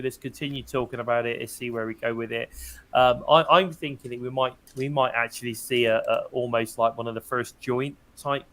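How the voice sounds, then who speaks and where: British male podcast co-host, mid-pitched, a bit croaky today, relaxed and chatty. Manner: chatty, leads the discussion and hands over to others. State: slightly croaky, hoarse. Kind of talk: casual wrestling podcast chat.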